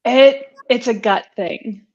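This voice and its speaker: A woman's voice: on the high side, slow pace, highs cut off. A woman speaking as a guest author in a casual interview, joking and self-deprecating.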